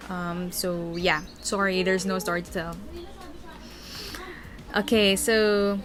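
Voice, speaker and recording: A young woman with a Filipino accent, chattily reading out fan questions and answering them at length in a casual Q&A video. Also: medium pitch. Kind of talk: casual Q&A vlog.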